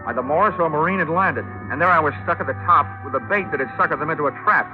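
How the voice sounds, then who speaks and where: A man's voice: fairly high pitch, fast, natural voice. Guy acting wisecracking american radio detective from the 40s, slangy and wry, dull old recording w music. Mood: tense wry narration. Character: wisecracking hardboiled male insurance investigator narrating his case.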